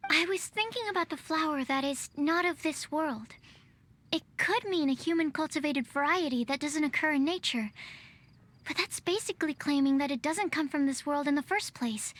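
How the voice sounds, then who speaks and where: A woman's voice: high voice, moderate pace, fairly bright tone. A woman voicing a shy, earnest young female alchemist in a video game, hesitant and thinking aloud. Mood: musing. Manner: hesitant, musing, overthinking. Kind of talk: game voice acting.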